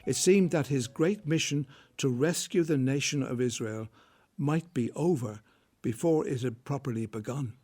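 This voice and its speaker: A man narrates a scripted story at a medium pitch. Faint guitar music plays behind his voice. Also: moderate pace, darkish tone.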